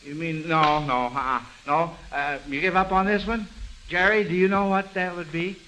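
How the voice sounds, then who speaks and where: A man hosts an old-time radio quiz in a folksy, avuncular manner. His voice sits high and has a very bright, forward edge. He talks conversationally over a faintly noisy vintage broadcast. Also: fairly even intonation, moderate pace. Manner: folksy, chuckling, repeats answers, avuncular toward children. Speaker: radio quiz show host.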